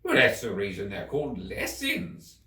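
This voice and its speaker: A gryphon who scolds and orders everyone about as a man plays the beast in a children's story. The delivery is blunt and scornful, with very lively pitch. It is heard a little far off in a roomy space. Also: moderate pace, heavily acted. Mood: gruff, reminiscing. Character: brusque, bossy, scornful male gryphon. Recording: echoey room, distant microphone.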